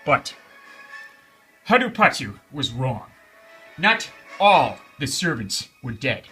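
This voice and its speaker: A man's voice: medium pitch, very animated, slow pace, sharp tone, soft background music. A man telling a children's bedtime story in a theatrical, emphatic way, repeating phrases for suspense.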